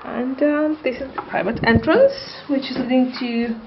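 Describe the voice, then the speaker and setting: A woman in her thirties, walking a camera through a small loft flat and describing it with frequent ums and hesitations. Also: sits high, moderate pace, dull audio, background noise. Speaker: property tour presenter. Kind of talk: walkthrough tour narration. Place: small loft studio apartment, indoors. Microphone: camera mic, handheld camera.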